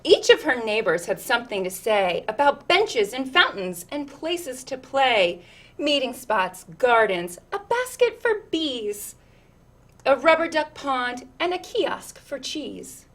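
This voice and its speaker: Female storyteller for children's read-aloud, slightly high register, very slow delivery, expressive and conversational with the listener. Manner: animated, engaging listeners with questions and asides. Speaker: storyteller reading a picture book to children.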